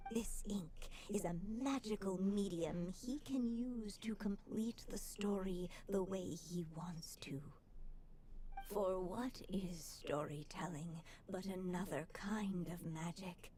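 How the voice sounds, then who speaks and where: Woman voicing a slow, wistful old storyteller. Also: medium pitch, crowd noise, close to an everyday voice. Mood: hopeful resolve. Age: older. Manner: slow, measured, breathy pauses, reflective. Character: gentle elderly woman storyteller and writer, wistful and resolute. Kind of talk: scripted video game voice acting.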